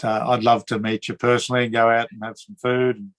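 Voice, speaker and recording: A man with a drawling Queensland Australian accent, hosting a relaxed interview in a friendly, chatty way and often repeating words. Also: medium pitch, quick pace. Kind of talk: casual conversational interview.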